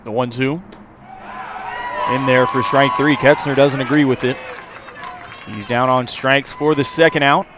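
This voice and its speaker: A man's voice: medium pitch, steady tone, unhurried, long pauses. Male baseball play-by-play announcer over crowd noise. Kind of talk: live radio sports commentary. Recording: outdoor ballpark crowd and ambient noise. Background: ballpark crowd, outdoor noise.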